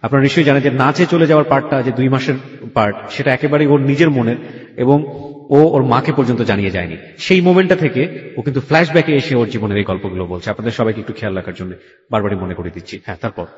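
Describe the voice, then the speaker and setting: Male Bangladeshi radio host for an interview and listener recaps; quick delivery, slightly dull recording. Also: medium pitch. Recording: echoey hall, slightly dull sound.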